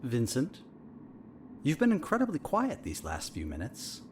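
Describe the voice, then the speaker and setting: An actor playing a controlled, menacing male therapist in an audio drama, with measured, pointed questioning. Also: medium pitch, wildly swooping pitch, background noise. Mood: coolly pointed.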